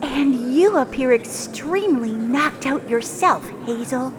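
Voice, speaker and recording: A woman's voice: high-pitched, lively intonation, unhurried, crowd noise. A woman playing a prim and proper fairy in a fairytale audio drama, with formal, precise, fussy speech. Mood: refined admiration. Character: prim, proper female fairy with lofty vocabulary. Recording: music behind the voice.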